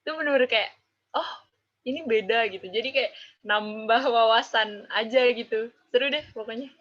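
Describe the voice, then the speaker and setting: A woman's voice: a little high, fairly bright tone. A young woman in her twenties with a Javanese accent, chatting casually as an interviewee and tagging phrases with filler words. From Java, Indonesia. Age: 20s. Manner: chatty, drawn-out, ends phrases with "gitu". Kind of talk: casual chat. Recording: dull lo-fi recording.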